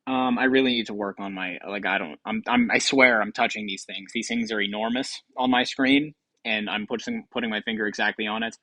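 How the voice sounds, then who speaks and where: A man's voice: fairly low pitch, steady tone, brisk, few pauses, sharp tone, no room sound. A man hosting a game show with casual, improvised commentary in a rambling, offhand way.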